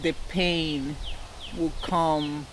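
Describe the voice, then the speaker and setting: A woman's voice: low voice, flat delivery, drawn-out words. Female interview guest, Costa Rican Spanish accent, candid and explanatory storytelling, faint background noise. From Costa Rica. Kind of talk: personal storytelling in casual interview.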